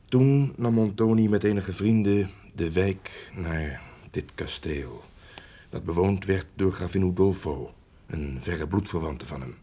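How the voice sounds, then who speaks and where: Deep, husky, grave manservant. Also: male voice, mellow. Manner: grave, measured, formal. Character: loyal, grave manservant, honest and sombre. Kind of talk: Dutch radio play acting, formal narration.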